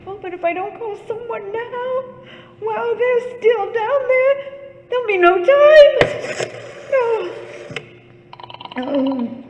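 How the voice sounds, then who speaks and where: A woman's voice: extremely high pitch, muffled recording, slight background noise, full character voice. A woman playing a frightened, imperious, self-pitying invalid in a radio thriller, stammering and fretful in melodramatic 1940s style. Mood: terrified. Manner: stammering, breathless, fretful and demanding. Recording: echoey hall with long reverb.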